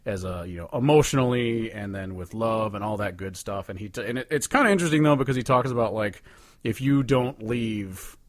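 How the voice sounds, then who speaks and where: A man's voice: on the low side, lively intonation, a little fast, dry recording. A man co-hosting a podcast, chatting casually about songs in a rambling, profane way. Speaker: podcast co-host reviewing songs. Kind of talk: casual chat.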